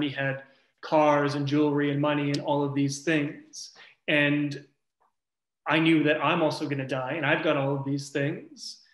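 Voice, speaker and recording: A man speaking as a researcher in an academic panel discussion, thoughtful and loose, searching for his words as he goes. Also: a little high. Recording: echoey room.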